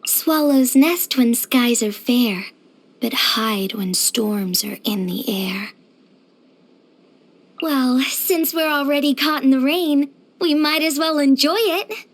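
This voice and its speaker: A woman playing a cheerful, easygoing young craftswoman in a video game, with a gentle, chirpy, earnest manner. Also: sits high, slow pace. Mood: calm, musing. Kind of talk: video game character voice acting.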